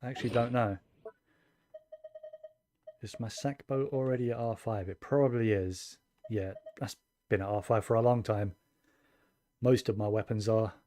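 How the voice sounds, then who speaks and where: A man chatting casually on a game livestream, rambling and thinking aloud in a low-key way. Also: steady tone, moderate pace, pauses often, dark tone. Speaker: game streamer. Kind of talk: casual livestream chat over gameplay. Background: game music.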